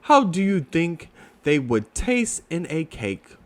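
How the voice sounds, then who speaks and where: A man voicing a gentle, simple-minded storybook bear in a mild, unhurried way. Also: on the high side, sing-song. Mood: friendly.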